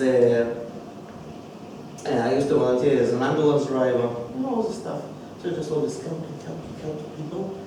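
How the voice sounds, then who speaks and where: A man in his thirties from Belarus with a Russian accent, telling his life story to a speaking club and often pausing to search for words. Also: medium pitch. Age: 30s. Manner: halting, searching for words in a second language. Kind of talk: personal life story speech. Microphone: distant microphone. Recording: echoey room from far off, background noise.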